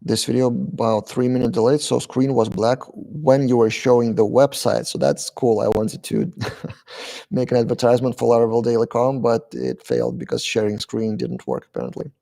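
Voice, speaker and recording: A man from Lithuania, hosting a casual live-stream Q&A, reading chat questions aloud and answering briefly with lots of "uh" pauses. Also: mid-range voice, creaky, warm tone.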